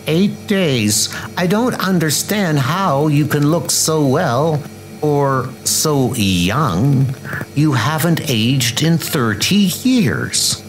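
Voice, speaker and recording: A man's voice: a little high, expressive, very slow, faint music behind, pitched above the performer's own voice, low-quality recording. A man voicing a fussing, emotional older woman in a comic dub, plaintive and scolding. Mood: fussing, worried.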